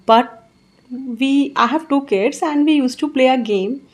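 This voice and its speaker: A woman's voice: sits high, measured pace. A woman in her thirties, an English tutor with an Indian English accent, earnestly answering interview questions with advice. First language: Hindi. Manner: earnest, encouraging, repeats points.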